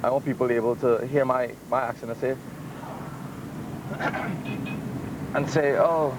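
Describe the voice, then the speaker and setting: A man's voice: mid-range voice, takes it slowly, very noisy. A man with a Trinidadian accent, a DJ giving an eager documentary interview outdoors at a carnival. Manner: eager, raises voice to shout over crowd. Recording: music loud behind.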